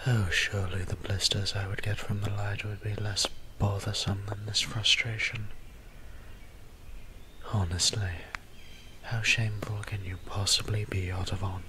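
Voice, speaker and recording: A man playing a soft-spoken, melancholy vampire priest in an ASMR roleplay, musing in formal, old-fashioned words with a breathy near-whisper. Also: on the low side, noisy background, lightly acted. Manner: formal, archaic, musing diction.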